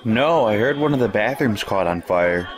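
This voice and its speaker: An actor playing a snide high school student in an audio drama, slangy and egging others on. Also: male voice, animated, moderate pace, lightly acted. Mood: curious, snide. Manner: slangy, needling. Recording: loud music and crowd behind.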